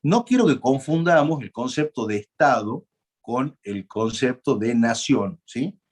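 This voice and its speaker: A man's voice: mid-range voice, expressive, unhurried, slightly rough. Man lecturing, lots of fillers. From Argentina. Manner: conversational, asks the class questions, frequent "eh" fillers and restarts. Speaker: law lecturer. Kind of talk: university lecture.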